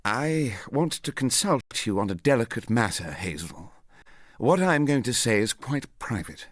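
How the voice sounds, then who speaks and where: A man playing a tall, weary under-secretary in a radio reading, formal, discreet and measured in speech. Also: medium pitch, big pitch swings, no room sound, clean studio sound. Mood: grave, confidential.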